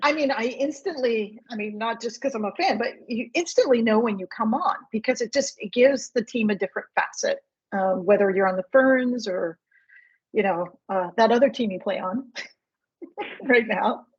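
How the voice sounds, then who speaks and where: A woman's voice: fairly high pitch, moderate pace, slightly rough, highs cut off. A woman podcast host asking questions in a casual chat, eager and rambling like a fan.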